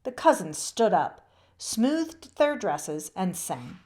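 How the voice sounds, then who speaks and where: Woman, children's read-aloud narrator, unhurried pace, lively swooping pitch. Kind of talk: expressive storybook narration.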